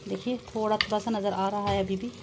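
A woman with a mid-pitched voice walks viewers through a recipe step by step in a casual way. She speaks to them directly and often repeats her instructions. Clear kitchen noise runs behind her, with clanking pots, stirring and sizzling. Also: steady tone, a little fast. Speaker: home cook and vlogger presenting a recipe. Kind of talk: casual step-by-step cooking narration. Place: home kitchen. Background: pots clanking, frying and stirring.

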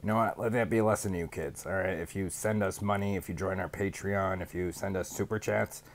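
A man in his thirties putting on a smug rival streamer who cheerfully admits to being a villain, speaking deadpan into a studio mic in a small home studio. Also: low-pitched, a little fast. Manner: deadpan mock-confession, sarcastic. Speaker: podcast guest doing a mocking impression. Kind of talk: sarcastic in-character rant. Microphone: studio mic on boom arm, headphones.

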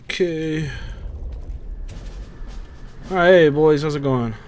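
A man's voice: on the high side, slow, a little mellow. A man giving casual, profane gameplay commentary, muttering to himself as he plays. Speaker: video game player. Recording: noisy, game gunfire behind. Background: game ambience and gunfire.

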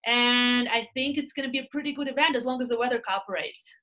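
A woman in her thirties with a Russian accent, chatting as a guest on a video call about her work, animated and often asking "right?". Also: on the high side, quick pace. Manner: animated storyteller, gestures with her hands, tags lines with "right?". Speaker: real estate team leader, guest. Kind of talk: casual interview chat. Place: small indoor room. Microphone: laptop webcam video call.

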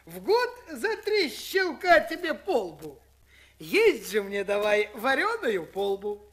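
A man's voice: very high voice, wildly swooping pitch, slow, fairly bright tone, heavily acted. A man doing a cheeky, bold peasant farmhand.

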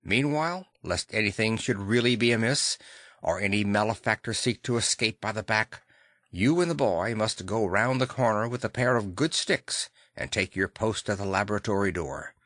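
A man's voice: lowish voice, moderate pace, fairly bright tone, no echo, studio quality. A man voicing a grave, commanding Victorian lawyer in an audiobook, formal and decisive.

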